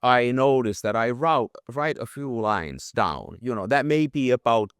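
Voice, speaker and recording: Finnish man, interview guest, reflective and halting; resonant voice, dry close sound. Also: medium pitch, animated, clean tone, dry recording. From Finland. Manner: thoughtful, halting, repeats words when starting. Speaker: guest photographer. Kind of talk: casual interview conversation.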